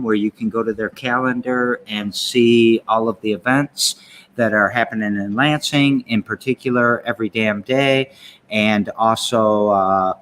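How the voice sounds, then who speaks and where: A man hosts a laid-back podcast from an indoor room, his voice mid-pitched and easygoing. He rambles, stops and restarts, and fills gaps with "uh" and "um". A faint hum of ambience sits behind him. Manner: relaxed, rambling, many fillers and restarts. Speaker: podcast host. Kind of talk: casual interview chat. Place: indoor sanctuary room of a venue.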